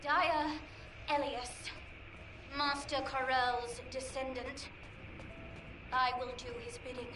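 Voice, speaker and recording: A high, slow, hollow voice of a brainwashed young woman in a video game, each word drawn out as if in a trance. It sounds echoey and distant from the mic, under loud hiss. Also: fairly even intonation. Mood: entranced. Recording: echoey, distant, heavy noise.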